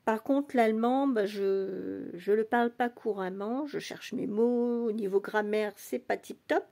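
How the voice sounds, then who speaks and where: An English-born woman talking casually in a vlog, speaking slowly and going back and forth between English and French. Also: medium pitch, gravelly. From England. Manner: soft, unhurried, switches between English and French. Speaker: doll collector vlogger. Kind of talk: casual bilingual vlog chat.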